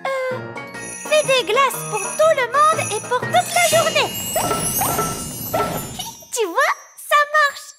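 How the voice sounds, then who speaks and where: A woman's voice: very high voice, expressive, takes it slowly, long pauses, exaggerated voice. A woman playing a cheerful, self-assured young fairy princess in a French cartoon dub, with a sweet childlike manner. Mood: confident. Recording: children's music loud behind the voice.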